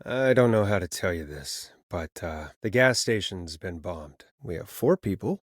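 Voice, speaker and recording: An actor voicing a wry, harried late-night radio DJ in a video game, with a dry, sardonic and expressive delivery. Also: male voice, deep voice, a little slow, no echo. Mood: grave, composed. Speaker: radio show host. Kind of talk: video game voice acting, radio patter and reactions.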